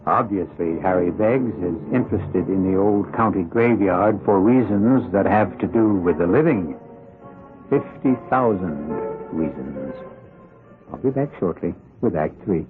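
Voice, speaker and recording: Wry ominous mystery show host, low voice, dramatic, loud music behind, dull old radio sound. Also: male voice, expressive, measured pace. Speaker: host and narrator.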